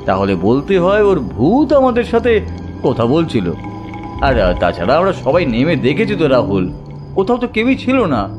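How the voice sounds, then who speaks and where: A man's voice: fairly high pitch, huge pitch swings, moderate pace. An actor playing a sceptical but reassuring young city man in a horror audio drama. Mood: dismissive. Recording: loud music over the voice.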